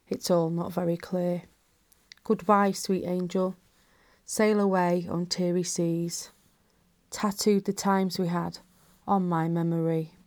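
A woman reciting a mournful poem of loss in a subdued way. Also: fairly low pitch.

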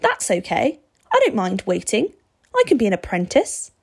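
A woman's voice: mid-range voice, wildly swooping pitch, moderate pace, creaky, husky, heavily acted. A woman voicing an eager, stubborn, fearless schoolboy who speaks earnestly and insistently in a storybook reading. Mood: eager, pleading.

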